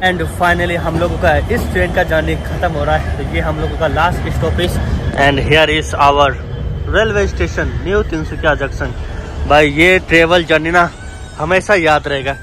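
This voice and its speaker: A man's voice: fairly high pitch, steady tone, normal speed. A man in his twenties chatting casually and informally to viewers in a travel vlog, speaking into a handheld camera at arm's length. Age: 20s. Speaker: travel vlogger. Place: outdoors at railway stations and on the road. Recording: noisy outdoor station and vehicle ambience.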